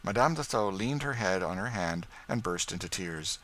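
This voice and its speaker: A man reading scripted literary narration as an audiobook narrator, in a measured storytelling manner. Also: low-pitched, expressive, a bit bright.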